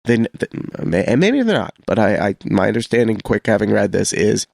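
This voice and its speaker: Man, deep-leaning voice, heavy vocal fry, informal radio chat, explainer manner. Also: very animated, a little fast, a little mellow, no echo. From Canada. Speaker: radio show co-host. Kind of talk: casual local radio chat.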